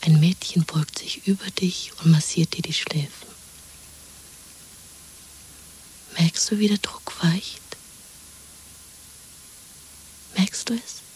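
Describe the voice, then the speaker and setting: Dreamy young woman plotting escape with her lover: very breathy whisper, soft mellow tone, coaxing and intimate, radio play. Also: on the low side, normal speed, poor audio. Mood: tender, soothing. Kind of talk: 1970s German radio drama acting.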